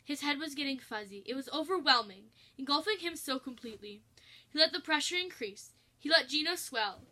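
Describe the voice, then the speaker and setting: A woman's voice: high voice, lively intonation, fairly quick, sharp tone. A woman narrating a piece of comic fan fiction, reading aloud as a storyteller.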